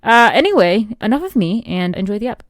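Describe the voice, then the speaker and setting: A woman's voice: medium pitch, big pitch swings, fairly quick, no room sound. A woman hosting a podcast gives an enthusiastic, self-deprecating intro monologue into a close microphone. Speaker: podcast host.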